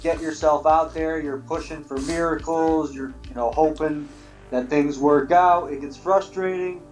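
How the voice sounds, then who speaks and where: A man's voice: a little high, fairly even intonation, a little slow, soft background music, poor audio. A man in his late twenties in a casual group chat, talking in a laid-back, reflective way. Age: 20s.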